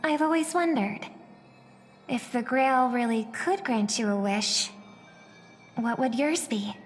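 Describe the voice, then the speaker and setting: An actress playing a gentle, gracious young female star, speaking politely and composedly as a game character, in a reverberant recording. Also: on the high side, slow pace, faint music behind. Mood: reflective. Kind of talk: scripted game character dialogue.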